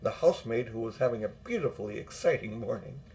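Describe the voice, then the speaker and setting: A man's voice: medium pitch, expressive, fast, a little mellow, low-quality recording. Older man reading a children's story. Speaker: audiobook narrator.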